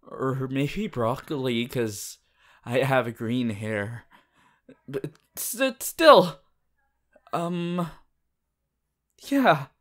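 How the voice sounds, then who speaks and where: A shy, lovestruck teenage boy hero from an anime, played by a man, speaks straight to the listener in a romantic roleplay. He is awkward and flustered, halting and stammering through his words at a very slow pace. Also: medium pitch, expressive. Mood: bashful.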